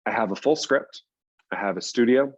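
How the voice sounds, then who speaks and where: A man's voice: medium pitch, normal speed, breathy edge, dry recording, lo-fi sound. A man speaking casually as an interview guest, explaining things in loose runs with repeats for emphasis. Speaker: writer and producer, interview guest.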